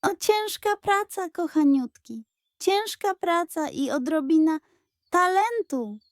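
A vain little boy puppy from a storybook , played by a woman, pitched high with a dry, close sound. He talks in exclamations and is full of himself, though easily rattled. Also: lively intonation, takes it slowly, dry recording, full character voice. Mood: smug, evasive.

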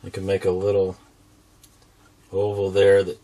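A middle-aged American man with a fairly low voice gives a step-by-step drawing lesson. He explains things simply and patiently, as if for children. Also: completely flat delivery, measured pace, warm tone. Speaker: drawing instructor and show host.

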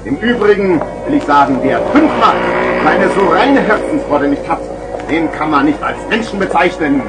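Casting: loud market crier, high and very animated, wheedling theatrical patter, old children's radio play. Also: male voice, moderate pace, creaky, loud music behind the voice, rough recording. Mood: exasperated, pleading. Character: boisterous male street hawker selling garden gnomes. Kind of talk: 1970s German children's radio play acting. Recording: vintage vinyl radio play recording.

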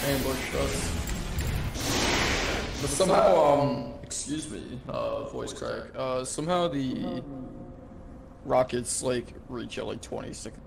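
Excitable young man gaming, noisy line. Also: mid-range voice, takes it slowly, gravelly, long pauses. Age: young adult. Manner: excitable, swears, laughs a lot. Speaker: gamer recording gameplay. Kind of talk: casual gameplay chatter.

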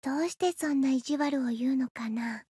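Anime game teen girl: high, quick, cutesy, sweetly pleading, eerily insistent. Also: fairly even intonation, warm tone, breathy edge, dry recording, clean studio sound. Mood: sweet, coaxing. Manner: cutesy, coaxing, childlike. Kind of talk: anime visual novel voice acting.

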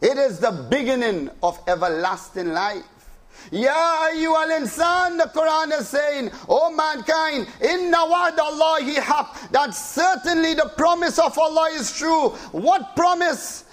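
A man preaching an impassioned Islamic sermon, full of rhetorical questions and slow dramatic repetition, with rapid chanted recitation of Arabic verses. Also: extremely high pitch, a bit bright.

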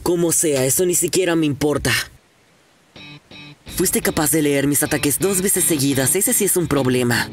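A man's voice: a little high, normal speed, faint music behind, natural voice. A man with a Latin American Spanish accent voicing a proud, vengeful young ninja assassin in an anime fan dub, theatrical, menacing and boastful.

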